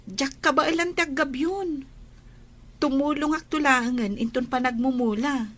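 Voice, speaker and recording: Young countrywoman, female actor, high-pitched, sweet, eager, Ilocano accent, radio drama. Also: moderate pace, a bit bright. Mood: chatty, hopeful.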